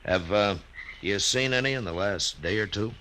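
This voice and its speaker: An actor playing a laconic, weary American frontier marshal, acting and narrating in an understated, terse way in a 1950s radio western. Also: male voice, fairly low pitch, a little hiss or hum. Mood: low-key, probing. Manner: understated, hesitant pauses, terse. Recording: vintage radio recording, loud music behind.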